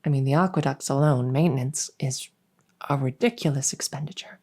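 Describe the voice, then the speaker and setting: A woman playing a weary, dutiful young princess in an intimate audio roleplay, speaking in a halting, conversational way with a soft, husky voice. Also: a bass voice. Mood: hesitant, wry. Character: young human princess, weary, dutiful, wistfully longing for freedom.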